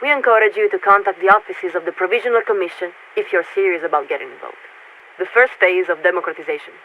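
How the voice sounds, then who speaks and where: An actress playing a polite, aloof military signaler in a video game, formal, diplomatic and evasive over a radio filter. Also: female voice, fairly low pitch. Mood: formal, measured. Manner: formal, measured, diplomatic, speaks as "we". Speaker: warship signaler. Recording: tinny narrowband radio with static.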